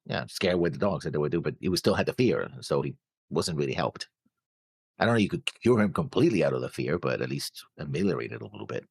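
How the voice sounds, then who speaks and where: A man's voice: a bass voice, lively intonation, fast, creaky, a little mellow, husky, no echo, studio quality. A man co-hosting a casual discussion show, rambling through his notes and acting out imagined remarks. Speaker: reaction show co-host.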